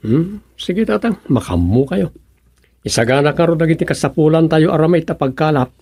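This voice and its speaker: An actor playing an eager fisherman's son with a husky voice and an Ilocano accent in a radio drama comedy. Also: on the high side, animated, normal speed, a little mellow, no echo. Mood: curious, willing.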